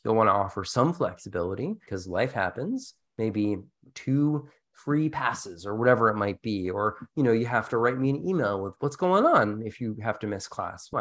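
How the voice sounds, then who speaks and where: A man talking conversationally as co-host of an educational podcast, explaining ideas casually with a wry touch. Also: fairly low pitch. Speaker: podcast co-host, instructional designer.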